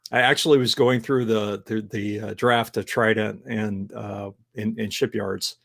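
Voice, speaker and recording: A man's voice: lowish voice, steady tone, measured pace, gravelly. A man co-hosting a podcast, chatting casually about his writing, rambling and swearing, laughing often. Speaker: podcast co-host, novelist. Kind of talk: casual chat.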